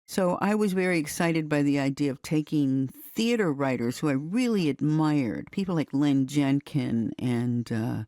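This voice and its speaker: A woman's voice: sits low, a little slow, hardly any pauses, warm tone, no room sound. A woman from the United States reflecting in a documentary interview, thoughtful and emphatic. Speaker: interviewee, radio drama producer. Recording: dry, faint music behind.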